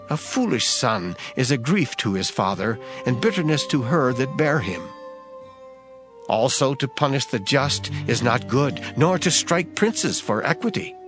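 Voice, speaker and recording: A man recites scripture in a measured, solemn way, and his pitch rises and falls a great deal from phrase to phrase. Clear music plays steadily behind him. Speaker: scripture reader. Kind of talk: dramatized scripture reading. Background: background music.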